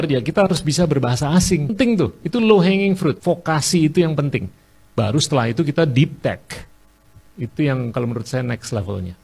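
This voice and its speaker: A man in an explanatory talk argues point by point. He asks a question and then answers it himself. His voice sits a little high. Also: gravelly, a little mellow. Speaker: speaker on the economy.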